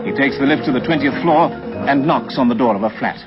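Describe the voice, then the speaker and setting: A man narrating a radio crime drama in a measured, announcing way. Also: medium pitch. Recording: dull vintage radio recording. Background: loud music.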